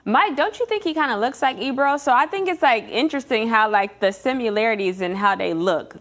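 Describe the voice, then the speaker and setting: A woman's voice: a little high, expressive, a little fast, a bit bright, faint music behind. An American woman casually hosting a game show, teasing and wry in a conversational way.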